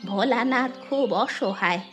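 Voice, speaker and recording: A woman's voice: fairly high pitch, a little slow, fairly bright tone. A woman voicing a kind, plain-spoken old village grandmother in a fairy-tale cartoon. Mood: calm, forgiving. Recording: music behind the voice.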